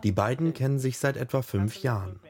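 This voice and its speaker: A man reading a scripted documentary voice-over in an even, measured way. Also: medium pitch. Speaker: documentary narrator. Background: faint music.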